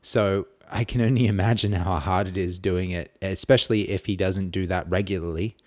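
A man's voice: deep voice, fairly even intonation, normal speed, a little mellow. A young man from Australia co-hosting a casual podcast, throwing in dry joking asides, on a studio mic in a small room. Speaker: podcast co-host. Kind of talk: casual chat. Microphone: studio mic on stand.

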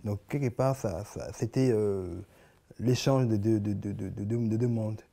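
Halting Congolese man with a dark voice. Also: lowish voice, gravelly. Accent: Congolese French. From Congo (Kinshasa). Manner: halting, many repeated words and restarts, animated hand gestures. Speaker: artist guest. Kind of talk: interview answers. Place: living room, indoors.

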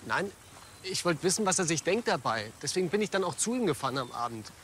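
An actor playing an earnest, defensive bird conservationist in a TV crime comedy. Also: male voice, a little high, sing-song, quick pace, fairly bright tone, a little hiss or hum.

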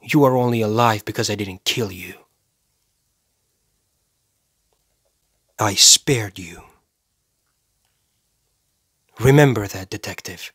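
An actor playing a cold, self-righteous serial killer in a dramatic film monologue, speaking deliberately and with menace, pausing for effect. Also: male voice, lowish voice, a bit bright, slightly rough, no room sound, clean studio sound. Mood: quiet menace. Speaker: actor performing a movie monologue.

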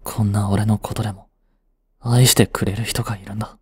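A man's voice: on the low side, little pitch movement, fairly quick, slightly rough, dry recording. A man acting an aloof, blunt but devoted young boyfriend in a romantic audio drama, curt and intimate. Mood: self-reproachful.

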